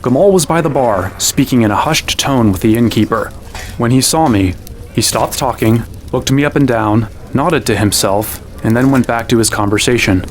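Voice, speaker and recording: Actor as wry, kindly young fantasy hero. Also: male voice, medium pitch, normal speed, natural voice. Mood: measured. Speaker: actor and narrator in an audio drama. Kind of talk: acted first-person narration and dialogue.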